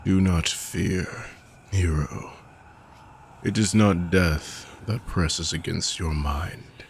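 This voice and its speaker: A weary ancient dark mage, played by a man speaks in a low, unhurried voice, each phrase drawn out slowly. He often sinks into hushed whispers. A faint hiss sits under the fantasy roleplay audio. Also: vocal fry, heavily acted. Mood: soothing, hushed. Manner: slow, measured, drifting into hushed whispers.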